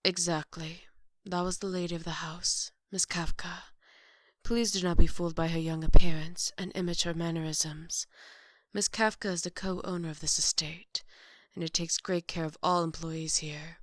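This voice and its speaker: A strict chief maid of a grand estate, acted by a woman in an ASMR roleplay. Her voice sits fairly low and is recorded close and dry. She speaks formally and with measured, sarcastic reproof. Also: fairly even intonation, no room sound, close to an everyday voice. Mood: composed, fond.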